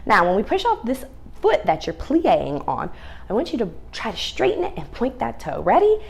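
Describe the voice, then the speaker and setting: A woman's voice: on the high side, wildly swooping pitch, normal speed, creaky. A woman teaching young children through read-aloud and ballet, speaking in an encouraging, sing-song way with simple instructions and questions.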